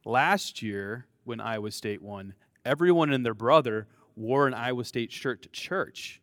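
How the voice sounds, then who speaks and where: A man with an American accent, a pastor preaching in a relaxed, conversational way with fillers and restarts. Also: medium pitch, measured pace, no echo. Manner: conversational, self-deprecating, frequent fillers and restarts. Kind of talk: sermon.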